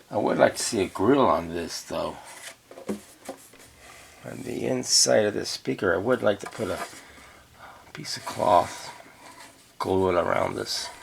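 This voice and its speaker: A man's voice: on the low side, vocal fry. A man giving casual, unscripted commentary as he works on a repair, half talking to himself as he inspects and handles parts. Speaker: radio repair hobbyist narrating a restoration. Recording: noisy workshop recording with clicks.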